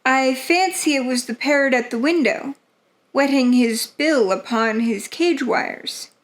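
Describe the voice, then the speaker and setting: A woman's voice: sits high, measured pace, voice pushed up. A woman playing a placating male courtier who offers polite guesses, in a dramatic gothic story reading.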